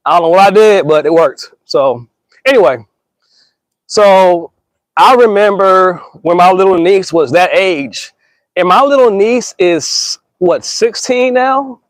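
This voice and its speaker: A man's voice: high-pitched, measured pace, dry recording. A man in his thirties musing in a casual, slangy monologue, earnest and rambling with restarts, calling listeners y'all and bro. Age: 30s. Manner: rambling with frequent restarts and stammers.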